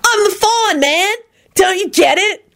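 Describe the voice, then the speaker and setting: Woman putting on a goofy dude, very high. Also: expressive, a little slow, a bit bright, full character voice. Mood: beaming. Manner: surfer-style slang, calling out. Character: cheerful, goofy, slangy laid-back dude.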